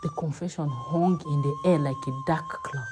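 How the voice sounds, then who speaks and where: A woman narrates a folktale with dramatic, scripted delivery. Her voice is somewhat low, and its pitch rises and falls freely. Quiet music runs underneath. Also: huge pitch swings, normal speed. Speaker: storyteller.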